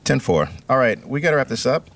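A man playing a brisk, bored cop. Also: mid-range voice, big pitch swings, a bit bright. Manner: clipped and businesslike. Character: brisk, bored police officer. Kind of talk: audio drama acting.

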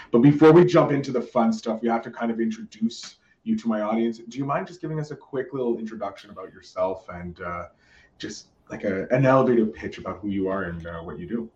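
A man's voice: low voice, darkish tone, distant mic, muffled recording. A man hosting a podcast interview, chatty and enthusiastic, often restarting his sentences. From Canada. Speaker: podcast host. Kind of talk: casual interview chat. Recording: echoey roomy sound from off-mic.

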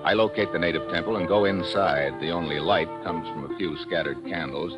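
A man's voice: low-pitched, a little fast, dull audio, lightly acted. A man with an American accent acting a brusque, wisecracking secret agent in a 1950s radio drama. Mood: tense narration. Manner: brusque, sardonic, clipped questioning. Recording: 1950s radio recording with music.

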